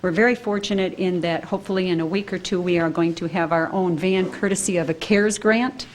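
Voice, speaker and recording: A woman's voice: lowish voice, fairly even intonation, fairly quick, a little hiss or hum, rough recording. A woman presenting to a city council in an informal, upbeat, explanatory way, describing a daily program. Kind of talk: public presentation at council meeting. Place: council meeting room.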